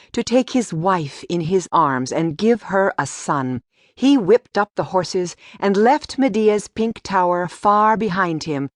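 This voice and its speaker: A woman narrating a storybook audiobook in an expressive, deliberate way. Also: lowish voice, no echo, clean studio sound.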